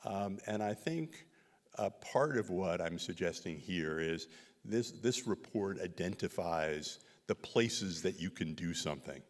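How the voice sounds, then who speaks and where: A man, a visiting researcher, answering questions after a community talk, speaking haltingly with many fillers and restarts. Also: sits low, expressive. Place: synagogue hall. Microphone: handheld microphone. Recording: long hall reverb.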